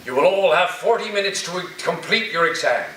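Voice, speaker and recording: Eccentric professor-captain, played by a man: high, bright, deliberate and whimsical, stage reading in a very echoey room. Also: expressive. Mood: stern, deliberate. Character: eccentric theatrical male college professor captaining a submarine. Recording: very echoey room, far off, faint noise.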